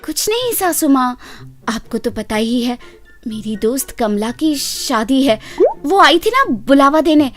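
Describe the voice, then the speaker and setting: A woman acts out a young daughter-in-law from a cartoon fairytale, very high and swooping in pitch. She is boastful, excitable and a little foolish, always wheedling to get her way. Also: measured pace. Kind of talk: animated Hindi moral story character voice.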